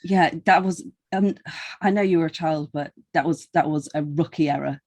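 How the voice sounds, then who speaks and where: Podcast interviewer with a mid-range voice, casual and dryly teasing, quick with interjections. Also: mid-range voice, a little mellow. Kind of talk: casual banter.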